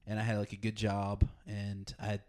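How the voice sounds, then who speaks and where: A man's voice: on the low side, normal speed. Laid-back man in his forties. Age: 40s. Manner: relaxed, dry joking. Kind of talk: casual podcast chat.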